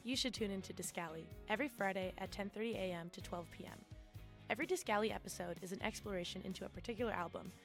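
A woman host promoting her own music radio show in a brisk, eager way. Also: medium pitch.

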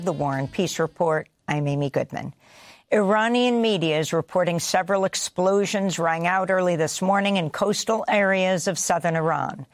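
A woman news anchor with an American accent reading scripted headlines in a measured, deliberate, emphatic way. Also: medium pitch, dry recording. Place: broadcast studio.

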